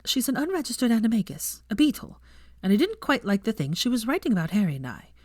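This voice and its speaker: A woman voicing a self-assured witch professor in her twenties, bright, prim and assertive, in a story reading. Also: a little high, big pitch swings, fast, dry recording, studio quality, pitched above the performer's own voice. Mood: indignant. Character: cheerful, firm, self-assured young witch professor, aged twenty-four.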